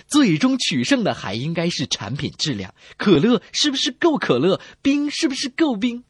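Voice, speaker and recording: A man's voice: sits high, big pitch swings, moderate pace, husky, no room sound, clean studio sound. A man co-hosting a scripted comedy radio show, bantering and telling jokes in a playful, theatrical way.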